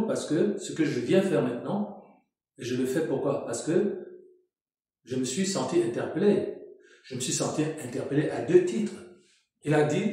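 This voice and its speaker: A man's voice: mid-range voice, dark tone. A man born in Cameroon, preaching as a pastor and arguing indignantly point by point against another preacher's words. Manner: indignant, argumentative, emphatic. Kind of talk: sermon rebuttal. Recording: strong echo.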